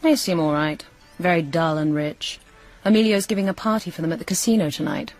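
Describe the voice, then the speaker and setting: An actress playing a cool, worldly young kept woman in a radio drama, guarded and blunt, with a husky voice. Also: lowish voice, brisk, a little mellow, soft background music, lightly acted. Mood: offhand, cool.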